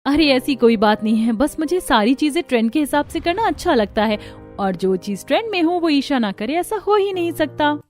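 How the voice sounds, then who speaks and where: A woman plays a young cartoon daughter-in-law in a fairly high voice. She is chatty, emphatic and sure of herself, with light music underneath. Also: sing-song, normal speed, a little mellow. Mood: helpful. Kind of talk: Hindi cartoon story acting.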